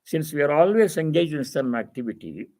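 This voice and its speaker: Man giving a measured spiritual discourse. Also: a little high, expressive, darkish tone, slight background noise. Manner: didactic, repeats phrases for emphasis. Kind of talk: spiritual discourse, reading and explaining scripture.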